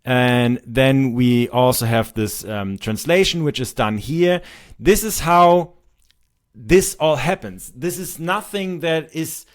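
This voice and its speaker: A man in his thirties with a German accent, a native German speaker, casually walking through a demo of software he built, with frequent ums and restarts. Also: mid-range voice, takes it slowly. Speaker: software developer demoing his tool. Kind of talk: screen-recorded software tutorial.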